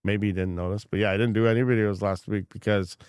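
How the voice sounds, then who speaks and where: A man's voice: lowish voice, fairly even intonation, fast talker, darkish tone, clean tone, dry recording, studio quality. A man hosting a crypto mining livestream and reading chat comments, congested while recovering from a lost voice, rambling frankly with many fillers and restarts.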